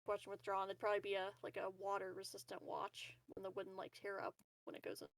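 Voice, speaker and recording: A woman's voice: mid-range voice, monotone, fast, no echo. A woman chatting casually as a player in a tabletop game, tentative and backtracking mid-thought.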